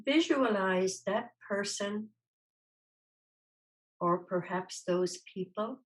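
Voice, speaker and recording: Slow-speaking woman guiding a meditation. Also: mid-range voice, rough recording. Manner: soothing, unhurried, gently instructing with long pauses. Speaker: meditation teacher. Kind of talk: guided meditation.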